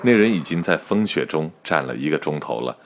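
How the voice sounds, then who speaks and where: A man's voice: extremely low pitch, expressive, a little fast, creaky, full character voice. A man narrating a comic radio drama as a wry, mock-serious storyteller, with noise behind him. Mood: wry, dramatic.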